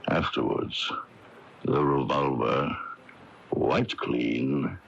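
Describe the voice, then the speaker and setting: An actor playing a soft-spoken, menacing criminal in a radio mystery drama, speaking in a deliberate, formal manner. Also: male voice, rumbling low, creaky, heavily acted. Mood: quietly menacing. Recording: muffled, noisy old radio broadcast tape.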